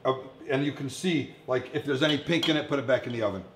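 A man's voice: mid-range voice, fast. Chatty male chef in an echoey kitchen. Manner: chatty, informal, addressing home viewers. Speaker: chef hosting a cooking show. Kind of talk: casual cooking demonstration. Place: commercial-style kitchen, indoors. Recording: echoey room, some background noise, kitchen clatter.

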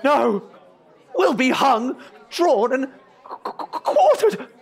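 An ambitious Tudor songwriter in a comic audio drama. His voice is high and swoops widely, with a slow, theatrical, excitable delivery. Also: vocal fry, breathy edge, a faint crowd, exaggerated voice. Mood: panicked. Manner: theatrical, excitable, stammers in panic. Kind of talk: historical comedy audio drama acting.

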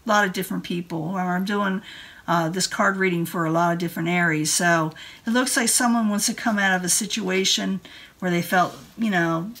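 A woman's voice: lowish voice, normal speed, fairly bright tone. A woman giving a casual tarot card reading in an informal, rambling way. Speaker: tarot reader. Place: indoor room at a table.

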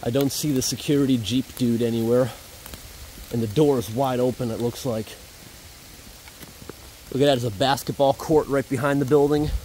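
A man's voice: mid-range voice, steady tone, normal speed, pauses often, warm tone. A man giving casual, off-the-cuff vlog commentary as he explores abandoned buildings. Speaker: urban explorer vlogger. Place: abandoned buildings and outdoors in rain. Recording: noisy on-location camera audio with ambience. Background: rain and ambient noise.

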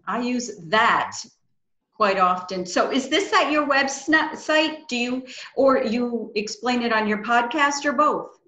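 A woman's voice: fairly high pitch, slow pace, sharp tone. A middle-aged woman asking casual interview questions over a roomy, dull-sounding video call. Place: small room at home, on a video call.

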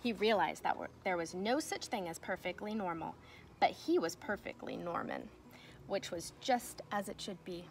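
A young woman reading a children's storybook aloud like a teacher, with expressive, emphatic delivery. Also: fairly low pitch, normal speed, gravelly. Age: young adult. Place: outdoors in a fenced backyard. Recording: noticeable outdoor ambient noise.

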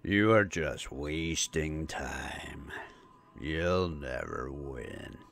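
Slow, gravelly, menacing alien villain. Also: male voice, very deep voice, animated, a bit bright, exaggerated voice. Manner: slow, drawn-out menacing delivery. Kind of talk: science fiction audio drama acting.

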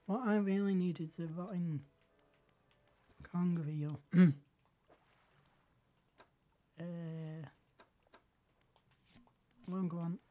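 Slow, hoarse woman with a cold. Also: lowish voice, warm tone. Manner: slow, halting, muttering asides to herself. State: hoarse from a cold, clearing throat often. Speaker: game streamer. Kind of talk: casual live game commentary.

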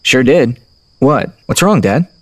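A man's voice: mid-range voice, animated, slow pace, a bit bright, slightly rough, full character voice. An actor playing a polite, earnest young small-town man in an audio drama. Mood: playful, modest.

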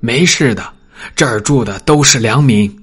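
Man acting a husky, deferential household steward. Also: medium pitch, normal speed, fairly bright tone, soft background music. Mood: solicitous. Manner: polite, explanatory. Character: deferential, reassuring male steward to a princess.

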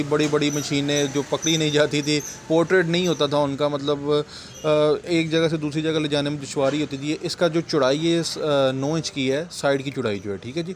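Casting: middle-aged male shopkeeper, chatty product demo on a phone camera, faint hum behind. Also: medium pitch. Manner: chatty, repeats "bhaijan" and "theek hai ji". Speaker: welding machine seller. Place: shop counter.

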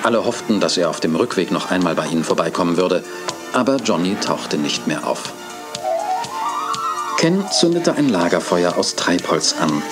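A man narrating a children's audio drama in a calm, measured way. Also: low voice. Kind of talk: scripted storybook narration. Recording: studio narration over music.

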